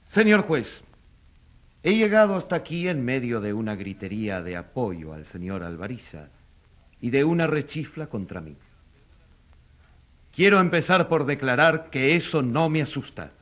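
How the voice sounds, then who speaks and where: An actor playing a combative, undaunted newspaper editor making an accusation in a radio drama, with emphatic, oratorical delivery. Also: male voice, on the high side, a bit bright.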